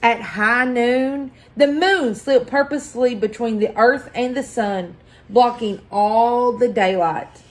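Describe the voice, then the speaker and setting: A woman reading a children's picture book aloud as a story-time host, deliberate and expressive, pausing for effect. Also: fairly high pitch. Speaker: library story-time reader.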